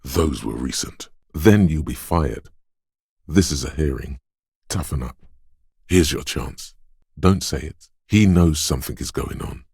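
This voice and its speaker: Very deep, smooth inner voice. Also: male voice, a little mellow, dry recording, studio quality, full character voice. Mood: urgent, alert. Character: inner voice of a detective's quick reflexes and wits. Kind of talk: video game narration, second-person inner voice.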